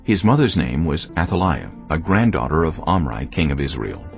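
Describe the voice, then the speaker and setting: A man's voice: a bass voice, big pitch swings, normal speed, gravelly. A man narrating scripture solemnly for a dramatized audio production. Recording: dull lo-fi audio with music.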